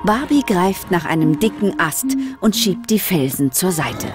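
A woman's voice: lowish voice, sing-song, a little slow, over loud music. A woman narrating a scripted children's audio drama as a storyteller.

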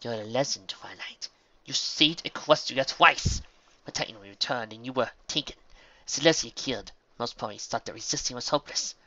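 A man's voice: mid-range voice, normal speed, bright, forceful tone, highs cut off. Man as an intense, awestruck pony captain. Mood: desperate hope. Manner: intense, ceremonious, dramatic. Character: earnest, awed female pony army captain.

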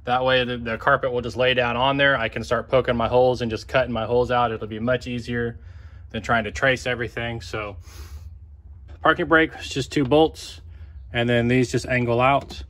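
A man's voice: medium pitch, steady tone, normal speed, a bit bright. A middle-aged man with an American accent giving a casual, plain-spoken, step-by-step DIY car repair tutorial. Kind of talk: casual hands-on tutorial narration. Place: outdoors on a patio and driveway, and at a car. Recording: clear background noise, outdoor ambience.

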